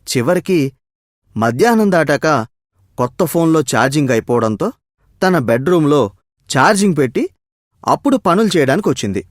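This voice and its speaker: A man's voice: fairly high pitch, expressive, fairly quick, pauses often, no room sound, clean studio sound. A man narrating a scripted moral story as its storyteller.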